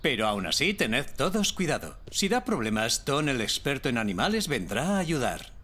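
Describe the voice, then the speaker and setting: A man voicing a calm, dependable firefighter in a children's cartoon, with a Castilian Spanish accent and a measured, reassuring manner. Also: mid-range voice. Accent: Spanish from Spain. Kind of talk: dubbed children's cartoon acting.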